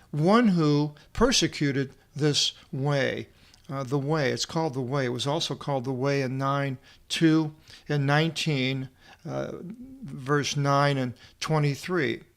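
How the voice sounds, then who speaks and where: A man giving an informal verse-by-verse Bible lecture as a teacher, reading aloud and explaining word by word in short, halting phrases. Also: medium pitch. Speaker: Bible teacher and translator presenting a video seminar.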